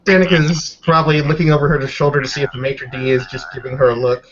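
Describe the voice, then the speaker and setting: A man casually improvising narration as a player in a tabletop roleplay, descriptive and halting, on a dull, slightly noisy mic. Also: a little high, flat delivery. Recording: dull lo-fi mic with background noise.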